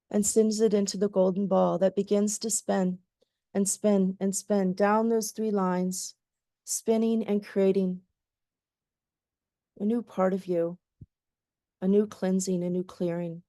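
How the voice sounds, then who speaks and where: A woman leads a guided meditation in gentle, lulling phrases. Her voice is warm and mellow at a middle pitch, and she speaks very slowly. The sound is close and dry, with no room in it. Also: dry recording.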